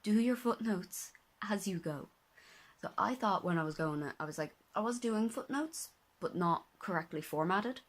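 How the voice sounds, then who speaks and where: A tired, slightly hoarse young woman talking casually to her phone camera at home, rambling, correcting herself and sometimes dropping to a whisper. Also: medium pitch, lively intonation, moderate pace. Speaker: vlogger, master's student. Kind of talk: casual vlog talk to camera. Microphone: handheld phone at arm's length.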